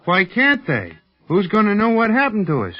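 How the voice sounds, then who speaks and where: A wisecracking American pilot sidekick in a 1930s radio adventure. His voice sits fairly high, and he talks in folksy slang, always complaining or cracking wise. The old recording is dull and boxy. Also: wildly swooping pitch, moderate pace, faint music behind, full character voice. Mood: gloomy. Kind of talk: 1930s radio serial acting.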